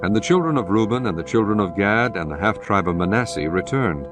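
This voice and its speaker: A man narrating scripture in a measured, solemn scripted reading. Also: on the low side, animated. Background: music underneath.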